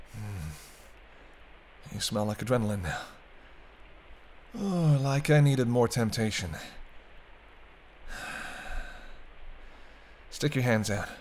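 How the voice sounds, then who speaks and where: Guy acting as a teasing male vampire, wry banter, kinda protective, talking right to you in a roleplay audio, medium pitch. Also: slight background noise, close to an everyday voice. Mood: teasing, reassuring. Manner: bantering, wry, murmured asides. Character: teasing, sardonic male vampire, centuries-weary but protective. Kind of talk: intimate roleplay audio drama, addressing the listener.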